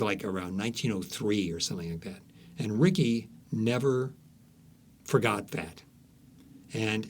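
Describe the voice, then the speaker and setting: A man's voice: medium pitch, a little mellow, husky. A man speaking as an author being interviewed, telling stories conversationally, searching for words and repeating some for emphasis.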